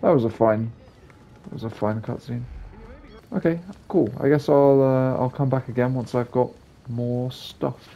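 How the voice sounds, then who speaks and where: A man's voice: mid-range voice, fairly even intonation, unhurried, mellow, lo-fi sound, a little hiss or hum. A man giving casual let's-play commentary, laid-back and muttering asides to himself. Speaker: gaming video creator.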